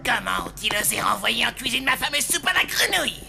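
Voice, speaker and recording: Gravelly, grumbling cartoon chef. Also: female voice, fairly high pitch, sing-song, fairly quick, exaggerated voice. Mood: indignant. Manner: theatrical, indignant complaining. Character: vain, grumbling monster hotel chef, easily outraged. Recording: music behind the voice.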